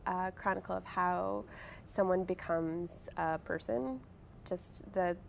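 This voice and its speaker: Wry young woman with creaky voice. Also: lowish voice, steady tone, slow, a little hiss or hum. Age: late 20s. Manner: wry, self-deprecating, chatty with frequent "like". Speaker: author and blogger guest. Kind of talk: casual interview conversation.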